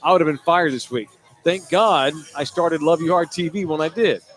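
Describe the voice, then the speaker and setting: High-voiced man in crude sports chat. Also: moderate pace, a bit bright. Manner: crude, joking, reads out viewer comments. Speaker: podcast host. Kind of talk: casual sports talk with a co-host.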